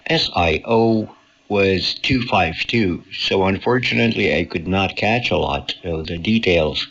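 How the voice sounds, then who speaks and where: A man presenting listener mail as a radio host in measured, deliberate phrases, heard through a shortwave radio capture. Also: low voice, a bit bright, lo-fi sound, slight background noise.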